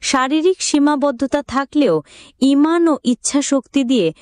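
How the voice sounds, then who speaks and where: Woman reading a cartoon story, kinda high voice, dry close sound. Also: measured pace, dry recording. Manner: even, didactic storytelling. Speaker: narrator. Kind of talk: scripted storybook narration.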